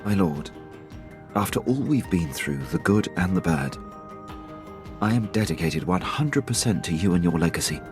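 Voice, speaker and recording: A man's voice: deep voice, lively intonation, normal speed. An actor playing a formal, devoted male military officer in a video game, speaking earnestly and deferentially. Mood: earnest, tender. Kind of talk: video game cutscene acting. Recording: loud game music under dialogue. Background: game score.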